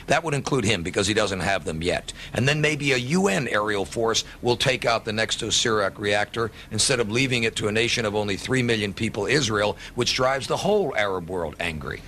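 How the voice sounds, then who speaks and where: A man's voice: lively intonation, a little fast, hardly any pauses, pushed and bright. A man arguing bluntly as a guest in a political radio discussion. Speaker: political talk show guest. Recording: clear background noise, low rumble.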